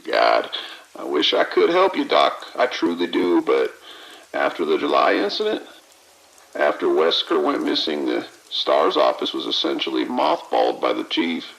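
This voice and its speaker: Harried gravelly cop played by a man, swooping pitch. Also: huge pitch swings, moderate pace, fairly bright tone, exaggerated voice, rough recording. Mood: apologetic, frustrated. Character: harried, weary male cop, friendly but overwhelmed. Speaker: police officer at operations desk. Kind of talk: audio drama acting, phone call.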